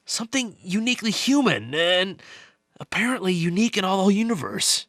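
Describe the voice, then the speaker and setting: Nerdy male investigator, played by an actor, radio play. Higher-pitched, forceful, dry close sound, earnest halting delivery. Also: lively intonation, dry recording. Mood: urgent, excited. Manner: earnest, halting, stammering explanations. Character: excited nerdy male investigator explaining alien theories.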